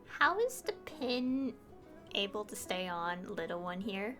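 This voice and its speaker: A woman's voice: mid-range voice, big pitch swings, unhurried, sharp tone. A woman streaming a game, casually and playfully reacting and reading lines aloud. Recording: game music behind.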